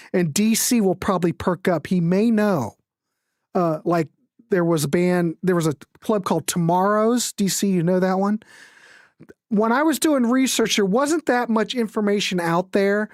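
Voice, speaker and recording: A woman's voice: mid-range voice, a little mellow, no room sound, clean studio sound. A woman hosting a music show in casual chat, introducing and commenting on records in an informal way, as if thinking aloud. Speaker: host of a music livestream.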